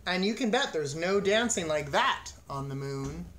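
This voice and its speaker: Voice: mid-range voice, lively intonation, normal speed, a bit bright, lightly acted. A reader voicing an exasperated, well-meaning male farmer in a picture book, coaxing and protesting. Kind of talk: picture book read-aloud character voice.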